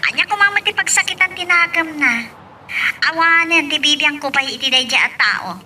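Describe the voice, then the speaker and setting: A woman playing an excitable, supportive young best friend in an audio love drama, with a Filipino accent. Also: high voice, moderate pace, distant mic, slight background noise, exaggerated voice. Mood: curious.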